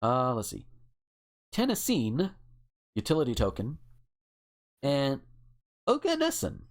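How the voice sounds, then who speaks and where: Male host, informal and rambling, highly varied intonation, casual chat delivery. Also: wildly swooping pitch, takes it slowly. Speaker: podcast host. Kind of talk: casual chat, reading out word lists.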